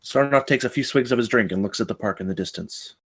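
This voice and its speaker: A man's voice: mid-range voice, quick pace, fairly bright tone, slightly rough. A man narrating stage directions from a screenplay at a table read.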